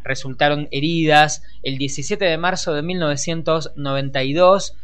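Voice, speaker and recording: An Argentine man with a Rioplatense accent hosting a conversational radio news show, improvising with frequent hesitant pauses. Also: on the high side, fairly even intonation, fairly bright tone, slight background noise. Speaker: radio host.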